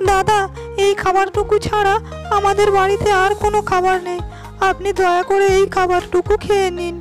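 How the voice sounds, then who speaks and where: Performer as poor village wife, very high pitch, monotone, humble, gently pleading, cartoon story, soft music. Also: fairly quick. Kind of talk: Bengali animated moral story dialogue. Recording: music under the voice.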